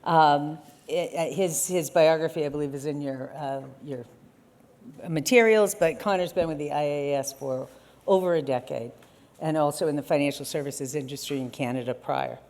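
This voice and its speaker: A woman's voice: low voice, moderate pace, a little mellow. A middle-aged woman moderating an onstage armchair conversation, reading formal prepared questions. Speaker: conference moderator. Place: conference stage.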